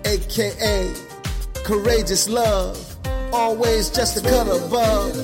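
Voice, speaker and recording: Woman singing a radio jingle over loud music. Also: medium pitch, fairly even intonation, slow. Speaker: jingle singer and announcer. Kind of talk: sung radio show theme.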